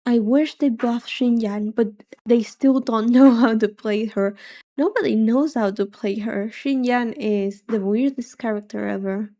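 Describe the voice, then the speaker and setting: Soft, mellow woman streamer, unhurried. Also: fairly high pitch. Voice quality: soft-voiced. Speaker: livestreamer, vtuber. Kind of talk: casual chat reacting to a game livestream.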